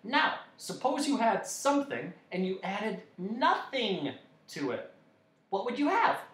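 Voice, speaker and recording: A man's voice: high voice, very animated, takes it slowly, fairly bright tone, recorded from a distance, voice pushed up, full character voice. A man voicing a pleased, chatty many-faced creature from a storybook, explaining things like an eager teacher. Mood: eager lecturing. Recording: echoey room.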